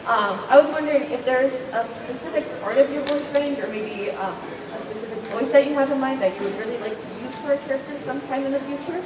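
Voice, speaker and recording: A woman in the audience asks panel guests a curious, thoughtful question. Her voice sits high. It carries from far off through a reverberant hall, with a crowd audible behind her. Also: quick pace, few pauses. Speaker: fan asking a question.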